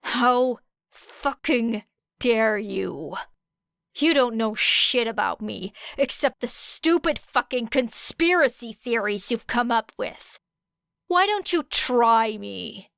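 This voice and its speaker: A woman voicing a fierce, blunt teenage girl with a sharp, growling delivery in an audiobook. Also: a little high, animated, slow pace, gravelly, no echo, studio quality, full character voice. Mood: furious.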